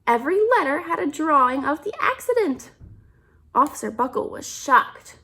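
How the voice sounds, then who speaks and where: A woman's voice: high-pitched, animated, normal speed, bright, forceful tone. A woman reading a picture book aloud to children, expressively, with simple asides and questions about the pictures.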